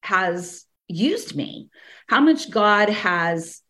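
Slightly hoarse woman, rambling podcast teaching. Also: mid-range voice, expressive, very slow, a bit bright. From American South. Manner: rambling, frequent fillers and restarts, laughs between thoughts. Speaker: podcast host and ministry teacher. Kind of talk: casual spoken teaching podcast.

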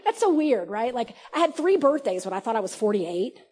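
A woman in her forties giving a casual, conversational sermon at a church service, animated, often laughing and restarting her sentences. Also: fairly high pitch, brisk, slight background noise. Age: 40s. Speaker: preacher.

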